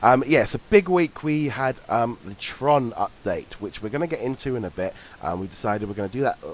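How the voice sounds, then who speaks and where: A man's voice: medium pitch, expressive, a little fast. Congested man co-hosting a chat show. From England. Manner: chatty, laughs often, frequent ums. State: congested from a head cold. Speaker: podcast co-host. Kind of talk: casual chat.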